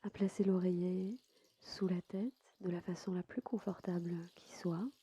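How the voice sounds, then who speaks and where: Very soft, mellow, breathy woman guiding relaxation. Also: fairly low pitch, steady tone, highs cut off. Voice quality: soft, breathy, sometimes near a whisper. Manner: soothing, unhurried, softly spoken with pauses. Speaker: yoga nidra guide and podcast host. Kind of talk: guided sleep meditation narration.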